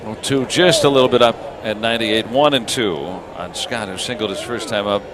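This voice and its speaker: A man's voice: fairly low pitch, moderate pace, background noise. A man doing live radio play-by-play commentary of a baseball game.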